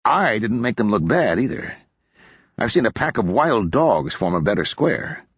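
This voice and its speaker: A tired, grim senior captain, read by a male narrator in his own low voice. He answers flatly and matter-of-factly, with a husky edge. The recording is dry and a little dull. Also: normal speed, no room sound, full character voice. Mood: weary grim. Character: weary, level-headed male senior captain.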